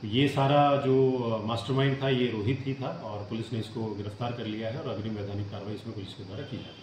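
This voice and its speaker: Halting male police official in echoey room. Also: medium pitch, steady tone. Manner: halting, official, with frequent hesitations. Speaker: police official briefing the press. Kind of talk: formal statement to a reporter. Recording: roomy echo, faint background noise.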